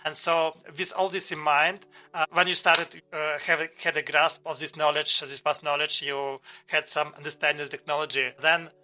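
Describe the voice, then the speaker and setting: A man in his fifties hosting an interview, speaking haltingly with many fillers, restarts and non-native phrasing. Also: a little high, level tone, sharp tone. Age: 50s. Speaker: host. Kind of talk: casual interview talk.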